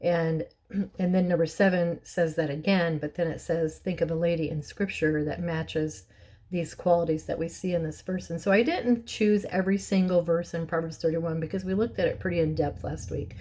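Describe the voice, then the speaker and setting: Middle-aged woman, hoarse and raspy, low mellow tone, talks through a casual Bible study. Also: fairly even intonation, moderate pace. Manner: warm, chatty, with restarts and soft laughs. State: hoarse from bronchitis, clearing her throat.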